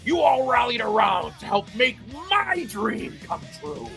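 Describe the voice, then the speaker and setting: A man's voice: extremely high pitch, very animated, measured pace, creaky, a bit bright, exaggerated voice. A man playing a fervent, defiant wrestling promoter who rallies his crew with grandiose speeches in an audio play. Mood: grandiose rallying. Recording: faint music behind the voice.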